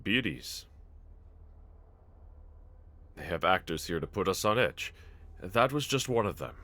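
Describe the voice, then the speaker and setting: A man playing a brave, tender young swordsman in an anime audio roleplay, speaking gently and earnestly to a companion in formal phrasing. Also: low-pitched, sing-song, moderate pace, exaggerated voice. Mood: gentle, reflective.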